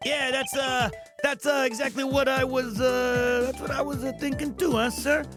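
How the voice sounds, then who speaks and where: Man doing a bumbling, high-pitched eager detective sidekick. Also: flat delivery, normal speed. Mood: eager, deferential. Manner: stammering, stuttering, tacks "huh" and "sir" onto phrases. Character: bumbling, eager, folksy male police detective, loyal sidekick. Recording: loud music behind.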